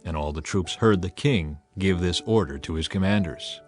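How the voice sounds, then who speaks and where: A man reading scripture as a dramatized audiobook narration, measured and emphatic in his storytelling. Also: low voice. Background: music under the voice.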